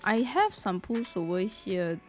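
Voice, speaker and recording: A woman chatting casually on a live game stream, reacting excitably and repeating her phrases. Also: medium pitch, measured pace, faint music behind.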